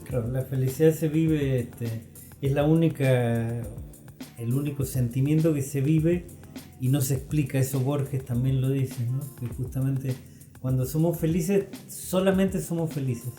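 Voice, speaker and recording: A man speaks in reflective conversation. His tone is extremely dark and relaxed, at a middling pitch. The sound is roomy, with faint music behind him. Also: measured pace.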